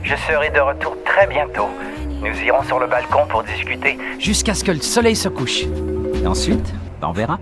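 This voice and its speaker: A man's voice: medium pitch, big pitch swings, a little fast, lightly acted. An amorous French officer , acted by a man, with a mid-range voice. He speaks intimately and reassuringly, a lover in a war game cutscene. Music plays underneath. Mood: tender. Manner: soothing, intimate.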